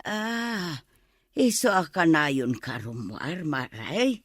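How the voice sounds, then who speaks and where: An actress playing a lazy, nosy, exclamatory housewife in a comedy radio drama. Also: female voice, sits low, very animated, slow, no echo, studio quality, heavily acted. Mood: whiny, worried. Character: lazy, nosy housewife with high blood pressure.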